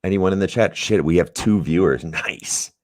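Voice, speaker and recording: A young man with a very deep, raspy voice, talking in a loose, rambling way and swearing now and then. The sound is dry and close, with no room echo. He comes across as a casual host chatting on a livestream. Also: normal speed, a little mellow. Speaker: podcast host.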